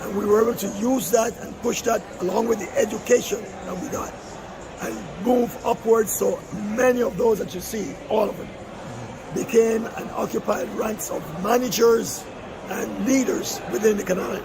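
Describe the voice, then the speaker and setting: A hoarse older man from Panama, a retired canal manager, speaking earnestly and proudly in a street interview. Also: high voice, fairly even intonation, normal speed. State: hoarse, lost voice. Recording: outdoor fair with crowd noise. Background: crowd at an outdoor fair.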